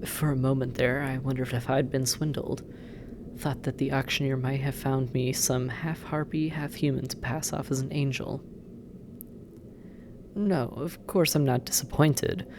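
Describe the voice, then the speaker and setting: A sardonic demon captor, played by an actor in an audio drama, drawling slowly with a gravelly fry. The teasing, intimate delivery slips into breathy murmurs and long pauses, over clearly audible background noise. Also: mid-range voice, little pitch movement, lightly acted. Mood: wry. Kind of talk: scripted roleplay audio drama, intimate second-person address.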